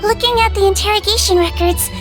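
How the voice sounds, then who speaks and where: A woman voicing a small, gentle head nurse in a video game, girlish, polite and measured. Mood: polite, earnest. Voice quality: high, girlish. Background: music.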